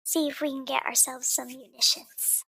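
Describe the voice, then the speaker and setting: Female player, high with vocal fry, decisive planner. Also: flat delivery, normal speed, pushed and bright, no room sound. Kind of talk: tabletop game table talk.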